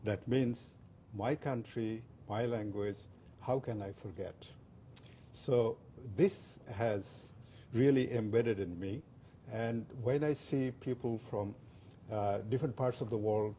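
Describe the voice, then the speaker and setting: An older man from Bangladesh, a native Bengali speaker, talks haltingly with frequent "uh"s and restarts. His voice sits fairly low and sounds dark and mellow. A faint low rumble runs under the recording. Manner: hesitant, many "uh" fillers and restarts. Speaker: interview guest. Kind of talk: community TV interview.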